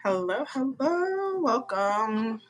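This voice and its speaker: A woman's voice: on the high side, takes it slowly. A woman in her mid-thirties hosting a casual livestream, greeting viewers and chatting as she works. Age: 30s. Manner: lively, exclamatory, chats while working. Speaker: livestream host.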